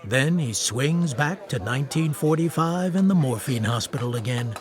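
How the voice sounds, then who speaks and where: A man narrating a radio drama as a matter-of-fact, deadpan storyteller. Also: mid-range voice, normal speed, lightly acted. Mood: detached.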